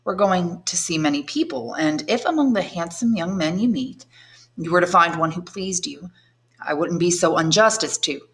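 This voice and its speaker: A woman reading aloud, playing a calm noble man who speaks in a measured, earnest way. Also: fairly low pitch, lightly acted. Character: calm, noble older man, tender and commanding.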